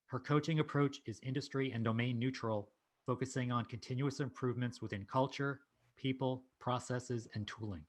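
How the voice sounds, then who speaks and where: Guy hosting a meetup over a video call, easygoing, some ums, middle pitch. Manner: relaxed, informal with fillers. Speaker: meetup host. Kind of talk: event announcements and speaker introduction.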